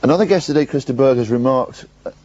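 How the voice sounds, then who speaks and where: A man's voice: mid-range voice, quick pace, darkish tone, no room sound. Playful, chatty male TV interviewer. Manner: playful, chatty, theatrical asides. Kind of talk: breakfast TV interview. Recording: dull lo-fi broadcast tape.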